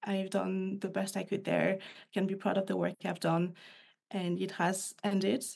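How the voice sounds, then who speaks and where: A woman's voice: mid-range voice, little pitch movement, measured pace, creaky, darkish tone, husky. A woman with a French accent, a guest on a podcast interview, speaking in a reflective, thoughtful way. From France. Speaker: guest, new coach.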